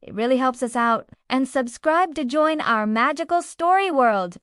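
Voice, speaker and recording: Higher-pitched woman narrating children's stories. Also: lively intonation, moderate pace, fairly bright tone, smooth, dry recording, studio quality. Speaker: children's storyteller and channel host. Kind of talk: scripted bedtime story narration for children.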